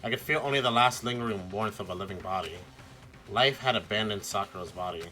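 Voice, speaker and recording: A man's voice: fairly low pitch, quick pace, music in the background, natural voice. A man voicing an earnest, anxious teenage schoolboy who narrates his own mystery in a visual novel read-aloud, with dramatic expression. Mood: tense narration.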